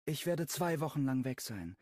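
A man's voice: medium pitch, animated, moderate pace, dry recording, studio quality, voice pushed up, lightly acted. A male audiobook reader voicing a friendly, chatty young woman, raising his voice for her lines. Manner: raised, bright-sounding delivery. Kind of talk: audiobook dialogue reading.

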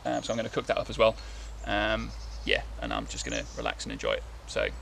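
A man's voice: on the low side, very animated, fast talker, gravelly, fairly bright tone. A man, talking casually to camera while sitting at his woodland camp, rambling with lots of fillers and restarts. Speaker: bushcraft vlogger. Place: outdoors in winter woodland, seated under a tarp. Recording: light outdoor background noise.

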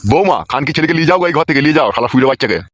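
Man acting a grumpy, fast-ranting cartoon father. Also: on the high side, a bit bright, no room sound. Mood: irritated scolding. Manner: abusive, cursing, irritable. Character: foul-mouthed grumpy sleepy father scolding his son. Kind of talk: comic cartoon dub.

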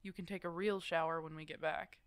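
A woman's voice: sits low, steady tone, brisk, clean tone, dry recording, lightly acted. A woman playing a protective, teasing werewolf mentor in an ASMR roleplay, casual and bossy but affectionate. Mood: teasing, coaxing. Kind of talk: ASMR roleplay audio drama addressed to the listener.

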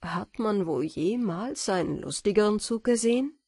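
A woman narrating a bedtime fairy tale audiobook in a gentle, measured storytelling manner. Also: mid-range voice, lively intonation, breathy edge, no room sound, studio quality.

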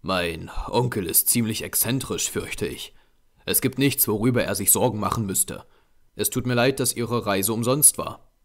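A man's voice: lowish voice, normal speed. A man voicing an irritated, sceptical male artist in an audiobook, brusque and dismissive. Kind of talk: audiobook character voice.

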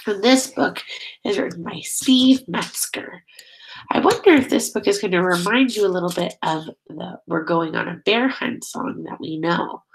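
A woman's voice: lowish voice, normal speed, vocal fry, a little hiss or hum, rough recording. A middle-aged woman reading a picture book aloud as a teacher, expressively, drawing out key words and prompting young listeners with questions. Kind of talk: children's read-aloud.

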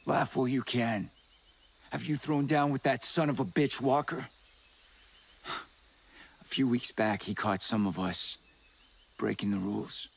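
An actor playing a tense, embittered elite soldier in a video game, with a rough, husky voice. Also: male voice, mid-range voice, unhurried, pauses often. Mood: bitter. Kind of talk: cinematic video game acting.

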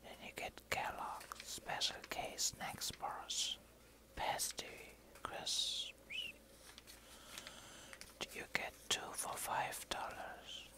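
Whispering woman, very slow ASMR delivery. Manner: slow, halting reading aloud in short phrases. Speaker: ASMR video creator. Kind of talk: whispered ASMR show and tell. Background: crumpling, crinkling paper.

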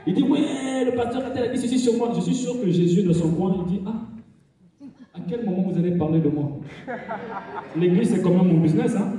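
A male stand-up comedian performing on a theater stage, speaking in an animated way and joking in broken English. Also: sits high, brisk. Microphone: handheld mic, filmed from the audience. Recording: echoey hall from far off, audience.

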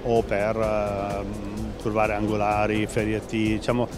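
A fairly low-voiced man in his fifties answers an interviewer at a busy trade fair stand, talking into a handheld mic. He hesitates often and restarts his phrases. Music plays clearly beneath him. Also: monotone, measured pace. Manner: hesitant, many fillers and restarts. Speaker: company president interviewed. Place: busy exhibition hall stand. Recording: background music under voice.

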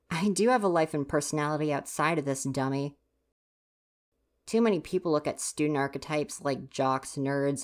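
Low-voiced, huffy tsundere student stagehand, acted by a woman. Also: natural voice. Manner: huffy, exasperated, sighing scolding. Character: grumpy flustered tsundere student stagehand, university age. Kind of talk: ASMR roleplay, acted directly to the listener.

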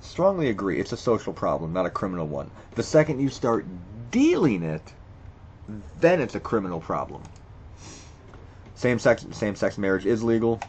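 A man's voice: lowish voice, a little hiss or hum. A man reading quiz questions aloud and reacting to each one, blunt and profane, thinking aloud with stammered restarts. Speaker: video creator. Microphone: screen recording with voice-over.

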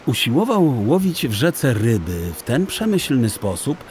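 Male audiobook narrator, children's tale, deliberate pacing, lively melodic delivery, faint noise behind. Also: mid-range voice. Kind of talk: expressive children's story narration.

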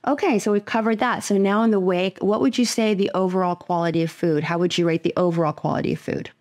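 A woman's voice: on the low side, fairly even intonation, quick pace, a little mellow. A woman travel vlogger chatting casually with her husband, rating restaurants and leading with upbeat questions. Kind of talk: casual restaurant review chat.

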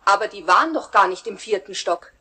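A woman's voice: medium pitch, lively intonation, a little fast, sharp tone, breathy edge. An actress playing a protective, indignant mother in a radio play.

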